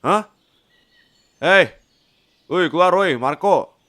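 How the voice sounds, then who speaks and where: A man's voice: high voice, takes it slowly, fairly bright tone, slight background noise, pitched above the performer's own voice. A man playing a bossy, protective wolf owner who scolds in slang in an acted bedtime story. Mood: exasperated, scolding.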